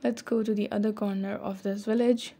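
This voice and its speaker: A woman's voice: mid-range voice, level tone, a little fast, dark tone. A young woman narrating a cheerful vlog, mixing English with Hindi-Urdu phrases. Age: young adult. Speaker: vlogger. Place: outdoors in village fields and in a restaurant. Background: music under the voice.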